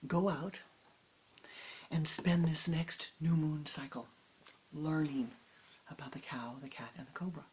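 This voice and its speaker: A woman voicing a wise, gentle old grandmother who speaks in a soft whisper, in a told story. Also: low-pitched, fairly even intonation, measured pace, long pauses, husky, full character voice, poor audio. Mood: solemn.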